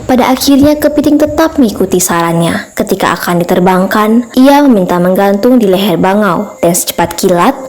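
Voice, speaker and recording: A woman's voice: mid-range voice, mellow. A woman narrating an animal fable as scripted storytelling.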